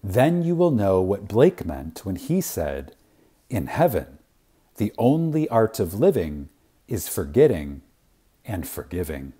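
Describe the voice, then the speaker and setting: A man reading an inspirational spiritual lecture aloud in a reverent, dramatic way, with long pauses and strong emphasis. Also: fairly low pitch, very animated, mellow, breathy edge.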